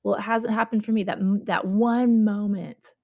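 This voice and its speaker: A woman's voice: mid-range voice, steady tone, normal speed, no echo. A woman hosting a faith podcast, telling a personal story in a casual way with lots of "like". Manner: warm storytelling, frequent "like" and affirming murmurs. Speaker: podcast host.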